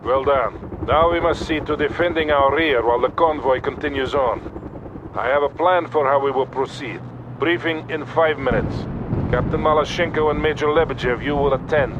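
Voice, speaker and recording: An actor playing a weary, authoritative Soviet colonel in a video game, giving deliberate orders over a lo-fi radio. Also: male voice, a little high, close to an everyday voice. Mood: measured, commanding. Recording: dull lo-fi radio, loud battle noise.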